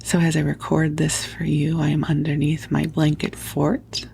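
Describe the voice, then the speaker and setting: A woman whispering softly and tenderly into a close microphone as she hosts a spiritual sleep podcast, soothing and affectionate. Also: low voice, completely flat delivery, moderate pace, a little hiss or hum. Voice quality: hushed, breathy whispering. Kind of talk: whispered guided sleep talk, spiritual meditation. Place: at home under a blanket.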